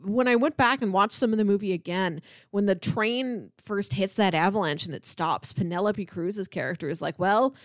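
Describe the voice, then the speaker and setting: A woman's voice: mid-range voice, moderate pace, few pauses, no echo. Woman chatting about film, dry close sound. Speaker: podcast co-host. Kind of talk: casual film discussion.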